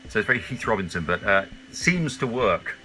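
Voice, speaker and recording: A man talking casually in an interview, with a hesitant, halting and wry way of speaking, recorded in a small homemade duvet booth. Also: on the low side, sing-song, sharp tone, soft background music, rough recording. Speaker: actor.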